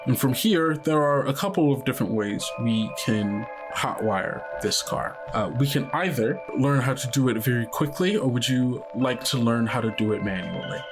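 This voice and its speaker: A man's voice: medium pitch, expressive, normal speed, few pauses, music in the background. A man hosting a tabletop game, chatting casually and narrating scenes as game master. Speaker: game master and podcast host.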